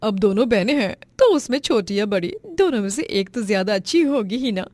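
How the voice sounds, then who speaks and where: A woman plays an appraising mother-in-law in a family drama tale. She speaks matter-of-factly at a medium pitch, with very dry sound. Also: big pitch swings, fairly quick, a little mellow, dry recording. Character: mother-in-law judging her daughters-in-law. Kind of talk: dramatized Hindi family story.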